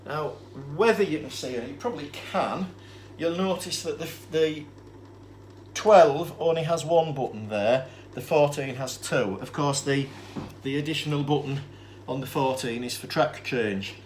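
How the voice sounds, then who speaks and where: A man's voice: fairly high pitch, unhurried. A man giving an informal, unscripted equipment demonstration, explaining things in a matter-of-fact, slightly rambling and hesitant way. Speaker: presenter demonstrating vintage tape recorders. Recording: clear steady background noise.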